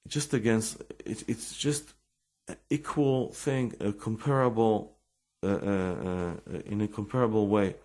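A man with an Israeli accent giving an informal religious talk with personal stories, halting and repeating words as he searches for English. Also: on the low side, steady tone, a little mellow.

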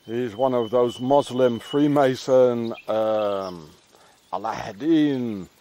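A man's voice: medium pitch, takes it slowly, warm tone, a little hiss or hum. A middle-aged man rambling and ranting in a meandering monologue as he walks a countryside path, often tagging on questions. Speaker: vlogger. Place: outdoors in the countryside, walking a path.

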